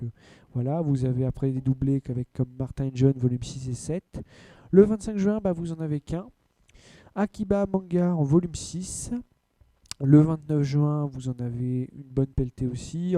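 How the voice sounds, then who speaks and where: Very mellow-voiced man hosting a podcast. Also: medium pitch, moderate pace, no room sound. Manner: blunt, frank, loose with filler words. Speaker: podcast host. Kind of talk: casual news rundown and rant.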